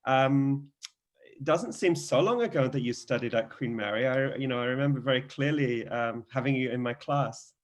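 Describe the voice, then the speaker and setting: A man's voice: mid-range voice, normal speed. A man hosting an alumni video call who hesitates and uses many fillers. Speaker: host of the event.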